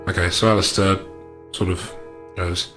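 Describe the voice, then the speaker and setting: A man with a fairly low voice takes his turn as a player in a tabletop game. He speaks casually, and guitar music is clearly audible behind him. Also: flat delivery, unhurried, fairly bright tone.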